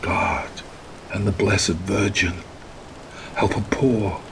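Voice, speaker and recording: A man's voice: lowish voice, fairly even intonation, slow, noisy background. An actor playing a weary, fearful, devout ship's captain giving his log in a horror radio play. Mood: despairing, devout. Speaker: actor in horror audio drama.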